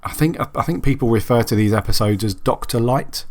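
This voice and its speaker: A man hosting a solo podcast who sounds raspy and husky from a cold. He chats casually and rambles, with many ums and restarts. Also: mid-range voice, fairly quick. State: hoarse from a cold. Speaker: podcast host.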